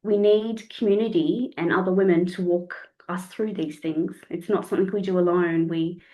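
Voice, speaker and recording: A woman in her forties with a slightly croaky voice, reflecting as a guest in a casual podcast conversation. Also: on the low side, fairly even intonation, moderate pace, highs cut off. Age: 40s. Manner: reflective, searching for words, repeats phrases. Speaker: guest, counsellor and somatic sexologist.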